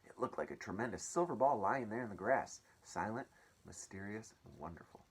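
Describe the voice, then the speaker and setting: A man reading a children's story aloud as an expressive storyteller. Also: fairly low pitch, fairly quick, breathy edge.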